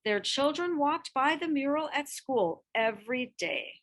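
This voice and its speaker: High, lively woman reading a storybook. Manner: expressive read-aloud with deliberate emphasis. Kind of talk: scripted storybook narration.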